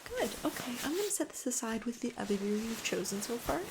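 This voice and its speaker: A woman speaking softly and often in a breathy whisper, gently and a little hesitantly, as a store clerk in an ASMR role-play. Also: fairly high pitch, sing-song, quick pace, background noise. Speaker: host role-playing a camping store clerk. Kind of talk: ASMR role-play, soft-spoken sales help.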